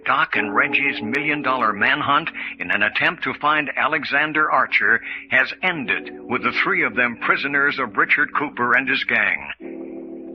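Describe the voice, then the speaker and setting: A male radio serial announcer delivers dramatic scripted narration. The recording is dull and muffled, with clear noise around the voice. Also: medium pitch.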